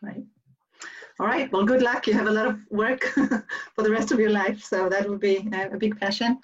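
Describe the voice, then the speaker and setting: A woman whose first language is Hebrew, interviewing a guest in a halting way with many restarts and fillers. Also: medium pitch, steady tone. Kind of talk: online interview chat. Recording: distant, dull lo-fi audio.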